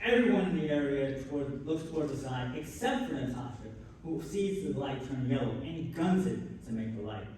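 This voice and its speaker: A man's voice: medium pitch, expressive, normal speed, few pauses, a little mellow, far from the mic. A man narrating a live radio play, reading out scripted stage directions. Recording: echoey hall from afar, faint noise.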